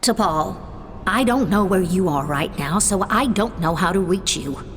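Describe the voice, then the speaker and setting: An actress playing a sorrowful stepmother voicing her letter in a video game, gently and earnestly pleading. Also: on the low side, animated, unhurried, slight background noise. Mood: sad, pleading. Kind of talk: dramatic letter voice-over in a game. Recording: long reverb with music behind.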